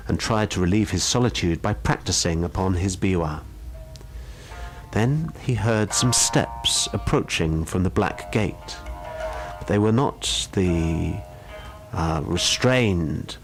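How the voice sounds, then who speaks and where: A man reading a ghost story aloud in a measured, suspenseful storytelling style. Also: deep voice. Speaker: radio show storyteller.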